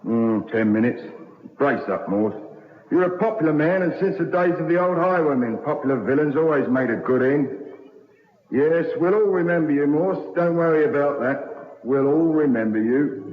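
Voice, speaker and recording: An actor playing a plain-spoken, matter-of-fact prison warder, deferential yet blunt, in old radio drama style. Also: male voice, on the high side, expressive, normal speed, close to an everyday voice. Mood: blunt, sympathetic. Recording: echoey, dull old radio recording.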